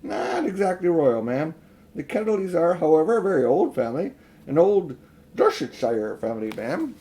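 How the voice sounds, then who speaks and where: A man's voice: on the high side, big pitch swings, moderate pace, mellow, pitched above the performer's own voice. A man voicing a nervous, obsequious old uncle in a children's storybook, stammering and eager to please. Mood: flustered. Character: flustered, fawning old uncle, a petty magician. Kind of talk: children's storybook read aloud.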